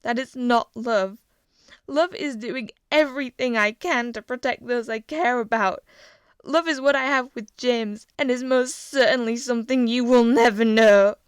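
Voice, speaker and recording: A woman reading the part of a young prince who shouts and pleads in anguish. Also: on the high side, moderate pace, clear, resonant tone, dry recording, studio quality, lightly acted. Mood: defiant.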